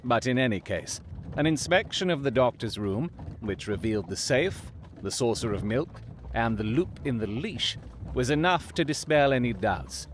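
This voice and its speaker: An actor playing a brilliant Victorian detective in an audio drama, coolly analytical, with precise, theatrical, formal delivery. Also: male voice, mid-range voice, natural voice.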